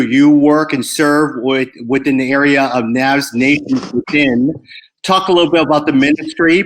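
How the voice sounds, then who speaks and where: A man's voice: on the high side, a little hiss or hum, rough recording. A man hosting an interview, giving short acknowledgments and posing polite questions. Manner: brief acknowledgments, courteous questions. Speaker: host.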